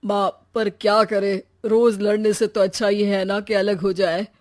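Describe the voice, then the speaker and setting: Contrite adult son played by a woman, medium-pitched for a female voice, coaxing and apologetic. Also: level tone, unhurried, natural voice.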